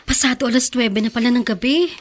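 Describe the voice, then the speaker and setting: A woman's voice: fairly high pitch, fast talker, close to an everyday voice. An actress playing a playful, evasive teenage schoolgirl in a radio drama. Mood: teasing, firm. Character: flustered, teasing teenage schoolgirl. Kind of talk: Filipino radio drama acting.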